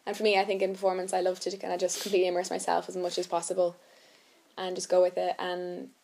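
A woman's voice: lowish voice, completely flat delivery, fast talker, creaky, darkish tone, slight background noise. A young adult woman with an Irish accent, chatting casually as an actress being interviewed. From Ireland.

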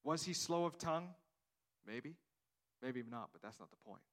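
A man's voice: on the high side, animated, moderate pace, fairly bright tone. A man preaching a sermon in a conversational way, acting out the dialogue of the story and often repeating words as he goes. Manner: repeats words, restarts phrases. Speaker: pastor.

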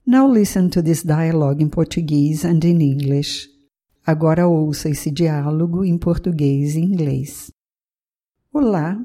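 A woman from Brazil leads a beginner language lesson, saying each phrase in two languages with slow, careful enunciation. Her voice sits low and mellow, and her teacherly delivery stays clear and even. Nothing in her reading is rushed. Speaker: language teacher and podcast host. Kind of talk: scripted bilingual language lesson.

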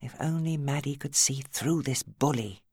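A woman's voice: very deep voice, moderate pace, a little mellow, breathy edge, dry recording, studio quality. A woman with an English accent reading a novel as an audiobook narrator, in a dramatic scripted style.

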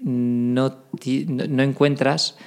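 A man's voice: mid-range voice, level tone, takes it slowly, darkish tone, clean tone. A man with a Spanish accent from Barcelona, a band member explaining himself emphatically in a casual interview. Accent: Spanish, from Spain.

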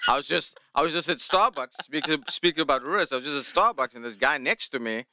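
South African man, Afrikaans first language, relaxed joking podcast guest, bright crisp dry voice. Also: a little high, fairly quick, no room sound. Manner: laid-back, jokey storyteller. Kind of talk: casual chat.

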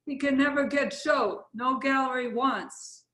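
A woman's voice: high voice, measured pace, pushed and bright, echoey room. A woman playing a blunt, worldly Russian artist's model in a play reading, with a Russian accent and clipped broken English. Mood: disdainful. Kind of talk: readers theatre play reading.

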